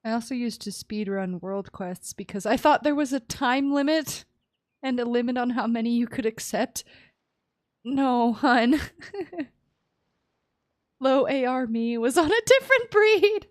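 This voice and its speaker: A woman's voice: a little high, animated, unhurried. A woman livestreaming a game, chatting casually in a playful, giggly, teasing way. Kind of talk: casual chat while playing.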